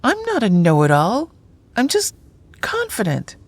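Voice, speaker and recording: A woman's voice: on the high side, wildly swooping pitch, a little slow, husky, dry recording, full character voice. A woman playing a frustrated, self-doubting but stubborn writer in an audio drama. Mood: exasperated, reluctant. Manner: exasperated, hesitant.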